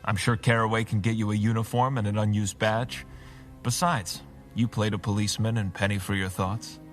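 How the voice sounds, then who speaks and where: Man playing masked vigilante leader, low voice, measured, authoritative, audio drama, music underneath. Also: level tone, close to an everyday voice. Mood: commanding.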